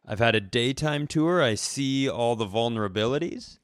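A man's voice: mid-range voice, expressive, moderate pace, clear, resonant tone, no room sound. Rambling American man, dry close sound. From Minnesota, United States. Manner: rambling, digressive. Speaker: comedy podcast co-host. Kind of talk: casual comedic chat.

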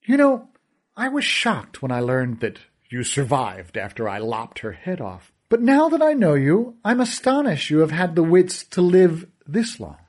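A man's voice: on the high side, wildly swooping pitch, a little slow, heavily acted. A man voicing a cruel, theatrical villain who gloats and taunts in a sneering, sing-song way. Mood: gloating.

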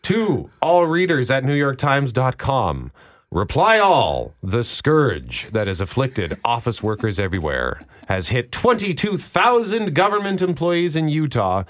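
A man with a Canadian accent hosting a radio show, bantering and reading items aloud. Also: medium pitch.

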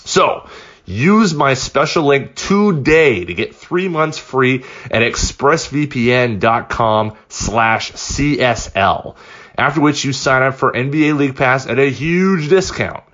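A man hosting a podcast, reading a sponsor ad in an upbeat, conversational sales pitch. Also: animated, slow pace, fairly bright tone, highs cut off. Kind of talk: conversational scripted ad read.

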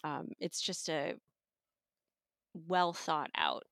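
A woman's voice: low-pitched, very animated, extremely slow, fairly bright tone, no room sound, clean studio sound. A middle-aged woman talking casually as an audiobook reviewer.

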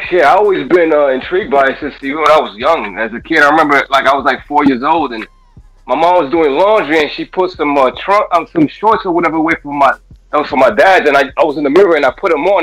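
A man's voice: a little high, a bit bright, dry recording, low-quality recording. A man in his late thirties from Florida, calling in to a show, laid-back and hesitant with lots of fillers. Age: 30s. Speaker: caller. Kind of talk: casual chat.

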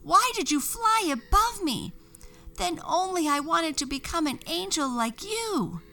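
A woman's voice: extremely high pitch, animated, a little slow, pushed and bright, pitched above the performer's own voice, exaggerated voice. A woman voicing a furious, jealous young witch girl who screeches and scolds in a storybook reading.

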